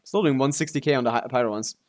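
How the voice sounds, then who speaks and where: A man's voice: medium pitch, rapid-fire, darkish tone. A man live streaming a video game, chatting casually in a laid-back way with some offhand swearing as he comments on play.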